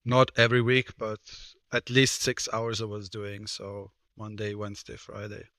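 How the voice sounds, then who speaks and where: A man's voice: on the low side, steady tone, normal speed, a bit bright, no room sound. A man in his thirties from Switzerland, a guest on a podcast talking casually and hesitantly, with many fillers and restarts. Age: 30s. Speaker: guest, personal trainer and card game player. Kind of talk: casual interview talk.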